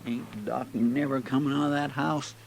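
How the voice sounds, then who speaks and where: A folksy, anxious cowboy sidekick with a rural Western American drawl, acted by a man. His mid-pitched voice is deferential, heard on a clearly noisy vintage radio recording. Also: fairly even intonation, moderate pace, lightly acted. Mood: concerned. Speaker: radio drama actor. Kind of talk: old-time radio drama acting.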